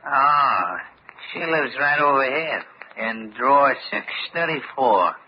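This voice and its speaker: A man's voice: medium pitch, lively intonation, takes it slowly. An actor playing a folksy, cheerful morgue keeper who is fond of his corpses, in a vintage radio mystery. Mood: chirpy, joking. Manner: folksy, uneducated speech with jokey deference. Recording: dull, noisy old radio recording.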